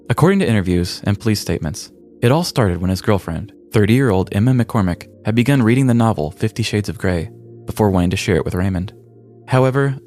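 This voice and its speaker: A man's voice: fairly low pitch, darkish tone. A male podcast host narrating a scripted, comedic true-crime story.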